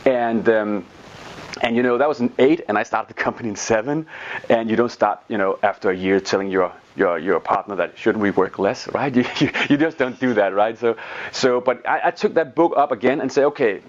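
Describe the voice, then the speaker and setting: A Danish man with a Danish accent talks in English as a guest in a relaxed interview, his voice at an ordinary middle pitch. He keeps repeating words as he goes and ends his sentences with "right?". The recording is dull and lo-fi. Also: brisk. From Denmark. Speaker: guest, online business teacher. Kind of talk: casual interview storytelling. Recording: dull lo-fi sound.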